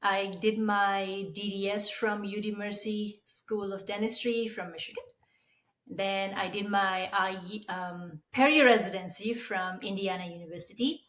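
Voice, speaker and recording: A woman's voice: medium pitch, steady tone, measured pace, a bit bright. A woman from Nepal with a Nepali accent, explaining as an expert guest in an interview. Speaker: periodontist guest. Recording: roomy, echoey sound.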